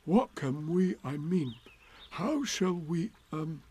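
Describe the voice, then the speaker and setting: A man voicing an earnest, simple-minded bear in a storybook, halting and apologetic. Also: fairly high pitch, very animated, mellow, husky, pitched above the performer's own voice, full character voice. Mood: hesitant.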